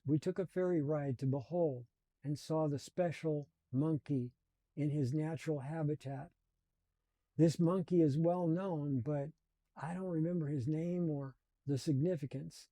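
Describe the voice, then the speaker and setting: A man in his seventies telling his life story in a slow, reflective and earnest way. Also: on the high side, mellow, dry recording. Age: 70s. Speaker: channel host. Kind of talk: personal storytelling.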